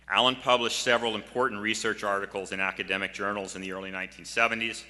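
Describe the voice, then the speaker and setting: Bright, forceful older man in a hall. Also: on the low side. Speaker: political science scholar, memorial speaker. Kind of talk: prepared tribute speech. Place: large music hall, at a memorial event. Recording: reverberant hall sound.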